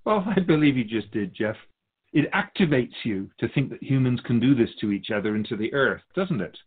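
A man teaches in a conversational, spiritual-guide style. His delivery is measured and explanatory, with lively, wide-moving intonation. The voice sits at a middle pitch. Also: breathy edge, narrow, tinny audio. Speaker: spiritual guide speaking as the Keeper.

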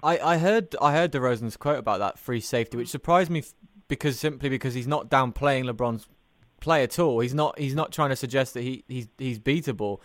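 A man's voice: medium pitch, a little fast, no echo. Male podcast interviewer, mid-range voice, close and dry, stat-led sports questions. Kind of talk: interview questioning mixed with prepared sports commentary.

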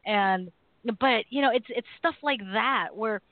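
A woman hosting a call-in talk radio show, chatting casually and animatedly with quick affirmations. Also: on the high side, dry recording. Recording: tinny phone-like dry audio.

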